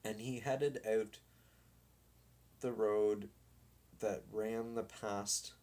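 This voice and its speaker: A man reading a children's story aloud in a deliberate, dramatic manner. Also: on the low side, fairly even intonation, a little mellow. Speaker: storybook reader.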